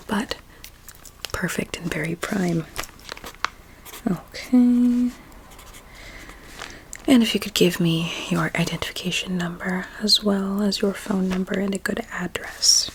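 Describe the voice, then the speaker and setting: A woman playing a sinister, courteous doctor in an ASMR roleplay, mostly in a soft, breathy whisper with a measured, clinical manner. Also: medium pitch, slight background noise, rough recording. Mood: polite, businesslike. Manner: slow, measured, clinical and politely formal.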